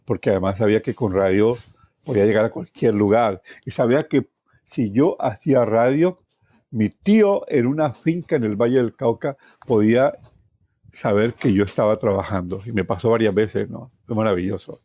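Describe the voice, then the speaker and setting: Low-voiced Colombian man telling his story. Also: normal speed, mellow, no room sound. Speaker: radio journalist recounting his life. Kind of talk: personal storytelling in an interview. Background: plucked guitar music.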